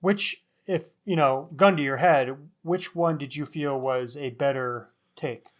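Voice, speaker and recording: A man's voice: medium pitch, unhurried. A man co-hosting a book discussion in a casual, musing, conversational way. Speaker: podcast co-host reviewing crime novels.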